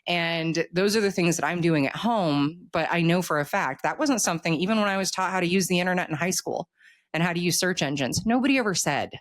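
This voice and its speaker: A woman's voice: fairly low pitch, brisk, no echo. A woman in her thirties talking casually in an interview, explaining earnestly and often posing rhetorical questions. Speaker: author being interviewed.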